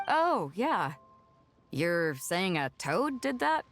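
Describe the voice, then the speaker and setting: A bold sea captain in a video game, her voice slightly low and full of swings in inflection. She sounds easygoing, hearty and plainspoken, with faint music behind. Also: sing-song, slow, a bit bright, heavily acted. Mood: curious.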